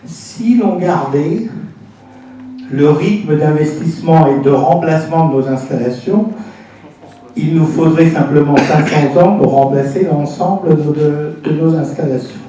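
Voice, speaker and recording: A Frenchman speaks as a council president giving an informal briefing, in a mellow, slightly high voice. He explains step by step with many pauses and little tag words. He is picked up from a distance in a roomy, reverberant hall. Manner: explanatory, often pausing and adding "hein" as a tag. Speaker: intercommunal council president addressing a meeting. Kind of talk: informal public presentation to a local council. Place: indoor council meeting room. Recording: echoey room, distant mic, faint noise.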